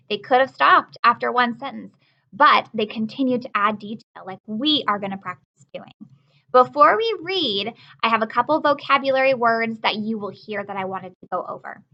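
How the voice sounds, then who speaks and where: A woman's voice: a little high, highs cut off. A woman teacher giving a read-aloud lesson for children, explaining words simply and repeating key points.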